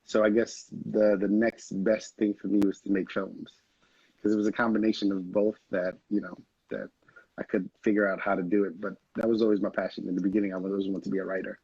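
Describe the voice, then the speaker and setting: Husky young man from Haiti. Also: on the low side, brisk, dry recording. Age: young adult. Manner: relaxed, rambling, laughs often. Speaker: guest, film director. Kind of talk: casual interview chat. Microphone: video call. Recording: dull lo-fi video call audio.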